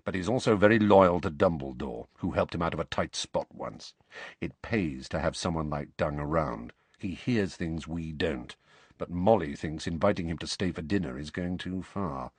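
A man reading an audiobook and voicing a guarded, wry grown man who talks low and stays calm. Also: moderate pace, husky, no echo. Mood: muttering. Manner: low, muttering, cool and calm. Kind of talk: audiobook character voice.

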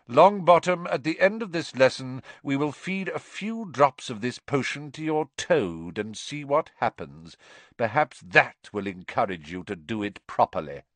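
Guy doing a mean cold male teacher for an audiobook, scornful n bossy, really animated pitch. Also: measured pace, dry recording, natural voice. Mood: menacing. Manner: scornful, commanding, cutting. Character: cold, sneering, menacing male schoolteacher.

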